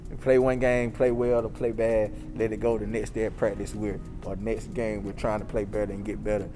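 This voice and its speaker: A man's voice: fairly low pitch, flat delivery, fairly quick. A man, a basketball coach, talking plainly about his team in a casual interview. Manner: plain-spoken, uses coaching cliches, teasing. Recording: clear music in background.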